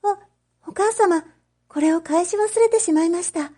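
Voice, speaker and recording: A woman's voice: extremely high pitch, unhurried, dark tone, voice pushed up. A woman voicing a shy, earnest, lovestruck sixteen-year-old girl in a read-aloud story, polite and tentative. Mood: flustered.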